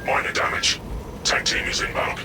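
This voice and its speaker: An actor playing a brisk, dutiful transport pilot in a game, giving terse status reports. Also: male voice, very noisy, natural voice. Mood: businesslike. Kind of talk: radio-style status reports.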